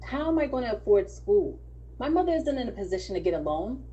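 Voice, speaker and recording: A woman's voice: on the high side, sing-song, quick pace, mellow, highs cut off, pitched above the performer's own voice. A woman playing a distressed young male college athlete, pleading and defensive, in an audio drama table read. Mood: pleading, upset. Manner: pleading, incredulous.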